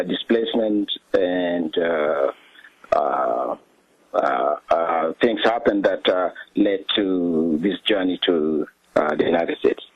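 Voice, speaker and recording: A middle-aged man with a South Sudanese accent, recounting his history as an interview guest in a hesitant, halting way full of pauses. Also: sits low, no echo, lo-fi sound.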